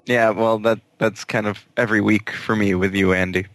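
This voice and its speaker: A man's voice: fairly low pitch, level tone, normal speed, a bit bright, no room sound. Teasing man in casual podcast banter. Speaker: podcast co-host. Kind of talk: casual chat.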